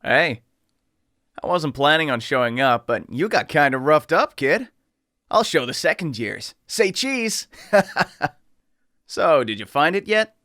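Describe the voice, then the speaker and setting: Brief: man as carefree anime mentor, teasing and self-assured, bright forceful voice, dry sound. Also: fairly high pitch, very animated, unhurried, pauses often, no room sound, pitched above the performer's own voice, heavily acted. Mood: playful, cocky. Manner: breezy, teasing, cocky. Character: cocky playful young male sorcerer teacher.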